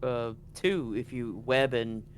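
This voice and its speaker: A male game master with a mid-range voice explains scenes and rules casually. He is halting, doubling back on words as he thinks, with faint noise behind him. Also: medium pitch. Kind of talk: casual tabletop game narration.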